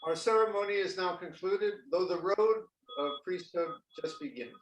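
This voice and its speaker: A man leading a Zen ordination ceremony, speaking gently and formally with a few halting fillers. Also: high voice. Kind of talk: ceremonial officiating speech. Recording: echoey and distant with faint noise.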